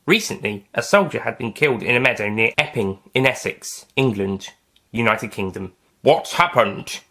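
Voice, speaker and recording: A man's voice: lowish voice, lively intonation, normal speed, creaky, pushed and bright. A man reading scripted science fiction story narration as its narrator. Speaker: author and narrator.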